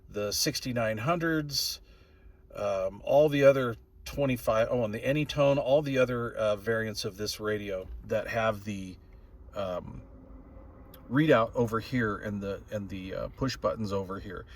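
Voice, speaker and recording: A middle-aged or older man giving a casual, plain-spoken and opinionated product review as a hobbyist host. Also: on the low side. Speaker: radio hobbyist channel host reviewing gear.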